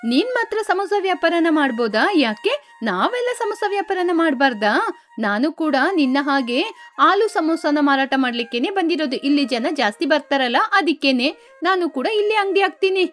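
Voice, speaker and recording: A woman's voice: sits high, very animated, moderate pace, a bit bright, faint music behind. A woman voicing a scheming, boastful female sparrow who sells samosas in a children's cartoon story. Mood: defiant. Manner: pushy street-vendor sales talk. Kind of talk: animated children's story dialogue.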